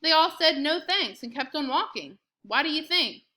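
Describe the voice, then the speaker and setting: Woman reading as an eager, bright-voiced young boy. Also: high voice, expressive, moderate pace, voice pushed up. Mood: puzzled, pressing. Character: enterprising young boy, eager and a bit sarcastic.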